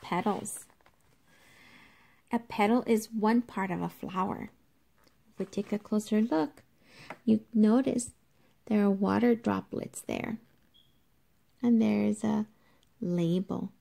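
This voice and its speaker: A woman reads aloud as a teacher to young children. Her pace is slow and deliberate, with a noticeable creak in a mid-pitched voice. She pauses to explain things in an instructive way, speaking directly to the children. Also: dark tone.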